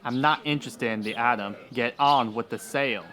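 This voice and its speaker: Western radio villain male actor: mid-pitched, greedy land baron, haughty, clipped contempt and cold self-importance. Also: fairly quick, bright, forceful tone, lightly acted. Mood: indignant. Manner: pompous, cold, indignant. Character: greedy, haughty Old West land grabber. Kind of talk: old-time radio western villain acting.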